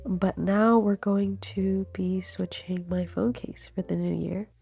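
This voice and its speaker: A woman's voice: medium pitch, steady tone, unhurried, gravelly, mellow, breathy edge, soft background music. A young woman with a cold, sounding stuffy and nasal, chatting easily through a vlog voiceover. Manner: chatty, easygoing, laughs while talking. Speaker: vlogger. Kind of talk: casual voiceover narration. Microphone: studio voiceover mic.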